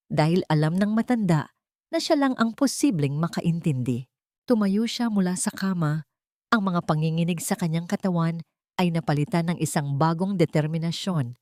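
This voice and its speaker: Female storyteller, lowish register, lively swings in intonation, suspenseful dramatic narration in a dry booth sound. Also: no echo.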